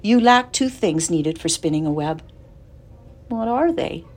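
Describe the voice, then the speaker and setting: A woman voicing a wise, calm female spider with a gentle, patient, matter-of-fact manner. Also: deep voice, moderate pace.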